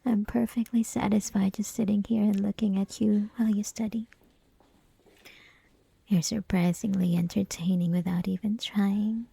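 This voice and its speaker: A woman's voice: mid-range voice, fairly even intonation, normal speed, warm tone, dry recording, exaggerated voice. A woman playing a flirty, possessive college classmate who teases the listener in an intimate, mostly whispered ASMR roleplay. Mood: flirty teasing. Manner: teasing, breathy, mostly whispered, giggly.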